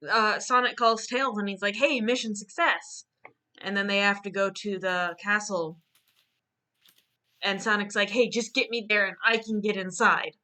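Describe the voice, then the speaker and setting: A woman's voice: medium pitch, steady tone, moderate pace, sharp tone. A woman casually presenting her own drawn storyboard in a joking, mock-earnest way, as the illustrator.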